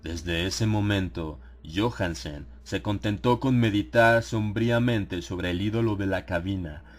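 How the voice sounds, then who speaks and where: A man narrating a dramatized horror audiobook in a grave, theatrical manner with dramatic pauses. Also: fairly low pitch. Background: background music.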